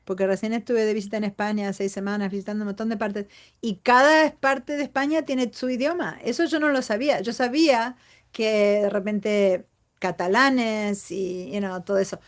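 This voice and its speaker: A woman's voice: medium pitch, steady tone, normal speed. A woman from Argentina with a Buenos Aires accent, chatting casually and laughing often. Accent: Buenos Aires Spanish. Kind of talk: casual conversation.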